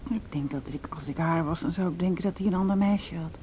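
An actress playing a gentle, anxious young woman in a radio play, speaking hesitantly and intimately. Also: fast, lightly acted. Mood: gentle, confiding. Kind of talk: Dutch radio play acting. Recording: faintly noisy radio recording with rumble.

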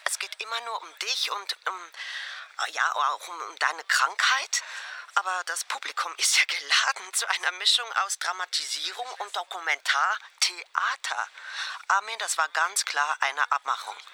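A woman's voice: on the low side, expressive, normal speed, pushed and bright, slight background noise. A woman leaving an informal spoken message for a colleague, conversational and coaxing.

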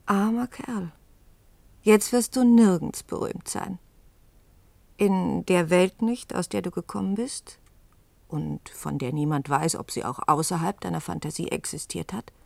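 An actress in a 1970s German radio play, playing a wry, sorrowful woman witness with a creaky voice and halting, dramatic delivery. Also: on the low side, darkish tone, dry recording, heavily acted. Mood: wistful, bitter.

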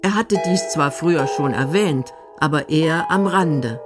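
Casting: female radio documentary narrator, low register, measured delivery over clear background music.

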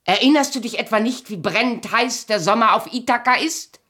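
An actress playing Penelope, an indignant, commanding wife left alone for decades, blunt and scolding in a German radio play. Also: female voice, fairly high pitch, fairly quick, sharp tone. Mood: angry, scolding.

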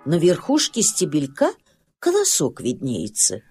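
A woman's voice: deep voice, huge pitch swings, unhurried, over music. A woman narrating a children's storybook tale expressively.

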